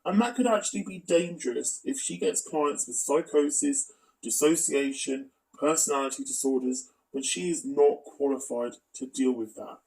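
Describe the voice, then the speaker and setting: A man's voice: medium pitch, moderate pace, vocal fry, darkish tone, far from the mic, low-quality recording. A man giving critical, explanatory commentary as a video commentator.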